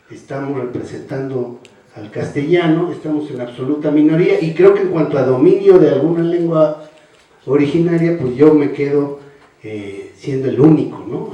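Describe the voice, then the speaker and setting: A man's voice: mid-range voice, dark tone, recorded from a distance. Older man in an echoey hall. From Mexico. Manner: measured, tags phrases with a questioning "no". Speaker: panelist. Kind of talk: formal public speech. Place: large hall.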